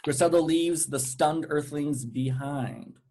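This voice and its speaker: A man narrates a script at a cast read-through. His voice is a touch high as he reads out the stage directions. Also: slow pace, dark tone, slight background noise.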